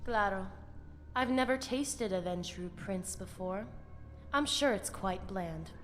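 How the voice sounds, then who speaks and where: An actress playing a solemn, fanatical vampire pack warrior in a video game, speaking in a portentous, ceremonial way. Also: female voice, medium pitch, animated, slow, faint music behind. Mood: dry disdain. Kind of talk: dramatic video game character acting. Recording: long reverberant hall, faint background noise.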